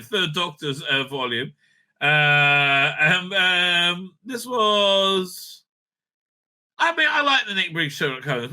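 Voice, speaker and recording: A man's voice: fairly high pitch, sharp tone. A man giving rambling, opinionated commentary as a video host, blunt and dismissive, often repeating himself and swearing. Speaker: YouTube host and reviewer.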